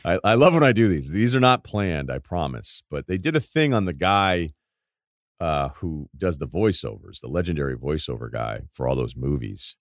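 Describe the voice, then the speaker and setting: A man with a deep voice hosting a podcast, telling rambling stories in casual chat and laughing at his own anecdotes. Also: big pitch swings, normal speed, vocal fry, no echo, studio quality. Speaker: podcast host.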